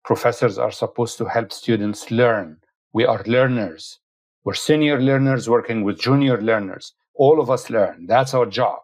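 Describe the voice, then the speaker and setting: A man's voice: medium pitch, moderate pace. A man, a professor whose first language is Arabic, firmly explaining his views as a guest in an interview. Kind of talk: interview answer.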